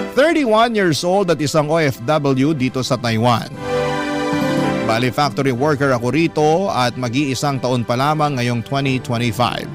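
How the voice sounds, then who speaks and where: A humble Filipino man in his thirties, played by a male actor, tells his own story in a radio drama. He is earnest and reflective, with a middling pitch. Prominent instrumental music plays under his words. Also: close to an everyday voice. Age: 30s. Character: humble 31-year-old factory worker abroad, wistful letter-writer. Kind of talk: radio drama first-person narration.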